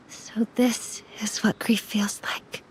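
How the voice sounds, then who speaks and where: A woman playing a young immortal apprentice mortician in an anime game, earnest and subdued. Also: mid-range voice. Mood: grieving.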